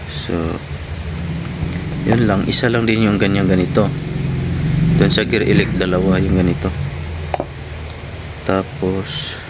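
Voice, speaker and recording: A man's voice: deep voice, slow pace, long pauses. A man giving a casual product review to viewers in short, informal phrases. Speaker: vlogger reviewing gear. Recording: clearly noisy, steady background hum.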